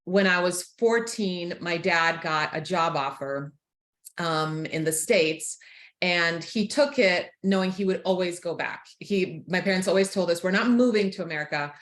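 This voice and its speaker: A woman in her forties from Croatia, telling her life story frankly as a podcast guest, laughing easily and going quiet on painful parts. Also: fairly low pitch, a little slow. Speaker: guest, author and speaker. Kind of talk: candid personal storytelling. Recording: roomy echo.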